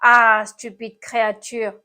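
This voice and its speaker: Woman as an indignant, high-voiced little snail. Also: level tone, takes it slowly, bright, forceful tone. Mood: indignant, wailing. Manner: indignant, moaning. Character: small horned snail, indignant, moaning, feeble victim.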